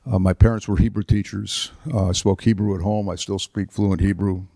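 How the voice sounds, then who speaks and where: A man in his seventies, a retired politician and author, tells stories about his life to an audience in a chapel, halting with fillers and restarts. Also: lowish voice, little pitch movement, vocal fry, dark tone, no echo. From United States. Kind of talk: relaxed storytelling talk.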